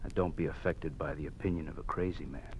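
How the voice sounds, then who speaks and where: An actor playing a cold, menacing regime enforcer in an old-time radio drama, curt, smug and threatening. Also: male voice, a bass voice, fairly even intonation, quick pace. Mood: cold, insistent. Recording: faintly noisy old radio recording.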